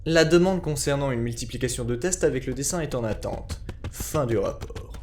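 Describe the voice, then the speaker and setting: A man's voice: medium pitch, normal speed, a little mellow, a little hiss or hum. A man giving a scripted narration in a formal, clinical report style.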